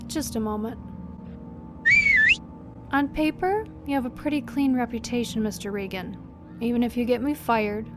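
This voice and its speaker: A woman's voice: high-pitched, natural voice. A woman playing a tough, sardonic police captain who questions relentlessly in an audio drama. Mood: threatening. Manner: sarcastic, probing, unflinching. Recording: music behind the voice.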